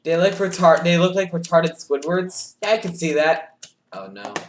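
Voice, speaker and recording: A man streaming a game live, reacting excitably with yelps and shouts, keyboard clicking behind him. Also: a little high, moderate pace, echoey room. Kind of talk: live gameplay commentary. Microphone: desk mic with audible keyboard.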